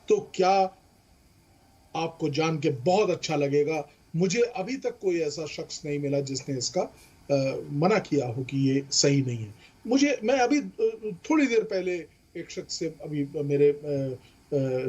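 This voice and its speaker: A man's voice: a little high, darkish tone, breathy edge, highs cut off. A man, explaining legal points emphatically as a panelist and mixing in English terms. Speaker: legal expert panelist on a debate show.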